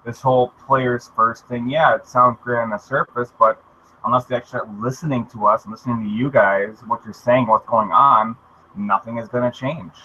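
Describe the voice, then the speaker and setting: Emphatic man, bright voice, muffled mic. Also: mid-range voice, fairly even intonation, moderate pace. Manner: emphatic, blunt opinions. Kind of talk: casual group discussion. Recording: muffled lo-fi mic with faint hiss.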